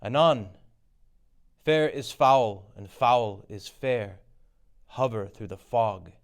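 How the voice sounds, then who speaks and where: A man's voice: mid-range voice, close to an everyday voice. A man voicing sinister witches in a stage tragedy, with an incantatory delivery. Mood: ominous chanting. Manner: slow, incantatory. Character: sinister witches chanting riddles on a heath.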